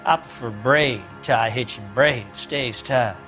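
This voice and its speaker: An actor playing a sly, unrepentant drifter and ex-convict in a video game, with a rural American accent and an evasive, folksy, drawling way of talking. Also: male voice, mid-range voice, normal speed, bright, forceful tone, soft background music, natural voice. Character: sly unrepentant small ex-convict drifter suspect, farm boy. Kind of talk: video game interrogation acting.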